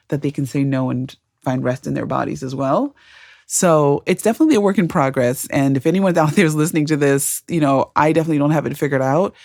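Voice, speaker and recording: A middle-aged woman from Zimbabwe co-hosting a podcast, sharing personal stories in a calm, thoughtful and earnest way. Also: sits low, no room sound, studio quality. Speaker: podcast co-host. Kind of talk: reflective conversational storytelling.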